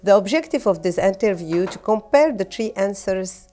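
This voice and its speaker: A woman's voice: mid-range voice, animated, normal speed, warm tone. Woman host asking simple questions. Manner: simple, friendly questions in learner English. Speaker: host interviewer. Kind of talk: scripted interview hosting. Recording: music clearly behind.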